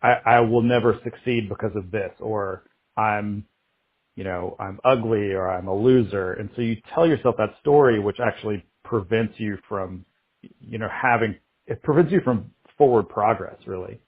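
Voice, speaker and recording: A man in his late thirties with a low, husky voice, talking slowly and candidly in an interview. He stops and restarts his thoughts as he goes. The sound is thin and phone-like. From United States. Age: 30s. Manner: halting, reflective, many restarts and fillers. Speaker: interview guest, artist.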